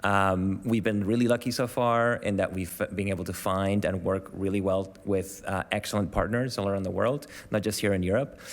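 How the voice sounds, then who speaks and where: A man's voice: sits low, fairly even intonation, normal speed, few pauses. A man from Venezuela answering questions as a panel guest, hesitant, with many fillers and restarts. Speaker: panel guest, media organisation staff. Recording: long hall reverb.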